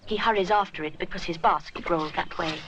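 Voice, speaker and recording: A woman's voice: fairly low pitch, a little fast, a bit bright, slight background noise. A woman reading a scripted children's story expressively.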